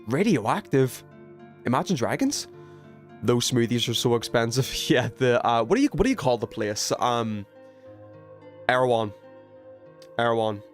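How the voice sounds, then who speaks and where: A man hosting a video game livestream, rambling and thinking aloud as he chats casually with viewers. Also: mid-range voice. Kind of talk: casual gaming stream chat, reading viewer messages.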